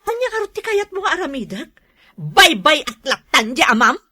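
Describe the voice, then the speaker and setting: Woman as hot-tempered mother, husky, sharp forceful tone, animated swings, emphatic, radio drama. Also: high-pitched, normal speed, full character voice. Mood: furious. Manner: scolding, emphatic. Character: aggrieved, hot-tempered mother of a large family. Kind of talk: radio drama acting in Ilocano.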